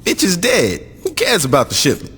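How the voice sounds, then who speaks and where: An actor playing a brash, jealous young gang lieutenant in a video game, slangy and indignant. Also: male voice, high voice, wildly swooping pitch, moderate pace, a bit bright, slightly rough, full character voice. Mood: dismissive, miffed. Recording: long reverb.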